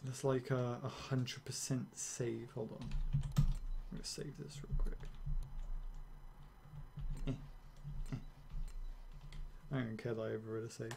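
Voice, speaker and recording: A young man streaming a game, rambling and ranting casually with swearing and quick laughs. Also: medium pitch, fairly even intonation, vocal fry, background noise. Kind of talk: casual gameplay commentary.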